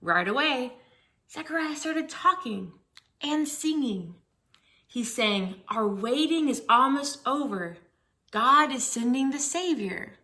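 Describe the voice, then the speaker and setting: A woman's voice: sits high, lively intonation, slow pace, bright, forceful tone. A woman reading a Bible story aloud for young children, explaining things simply and putting questions to her young listeners.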